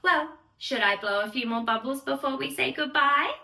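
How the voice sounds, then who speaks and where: A woman's voice: high-pitched, moderate pace, creaky, pushed and bright. A woman reading a bedtime story aloud to small children in a theatrical sing-song way, talking directly to her young listeners. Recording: roomy echo, distant microphone.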